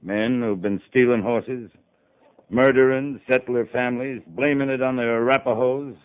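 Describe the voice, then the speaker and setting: An actor playing a terse, authoritative cavalry captain in a 1950s radio western. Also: male voice, medium pitch, fairly even intonation, normal speed, clear, resonant tone, natural voice. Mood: earnest. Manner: clipped, authoritative. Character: commanding, terse cavalry captain of the frontier West. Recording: muffled narrowband 1950s radio recording.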